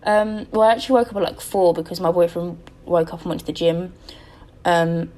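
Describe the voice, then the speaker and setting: A woman's voice: lowish voice, fairly even intonation, a little fast, a little mellow. A young woman giving a rambling, casual voice-over about her building project. She sounds tired and her voice is a little crackly, and she often corrects herself and fills gaps with "um". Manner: rambling, chatty, self-correcting with many ums. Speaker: game building YouTuber.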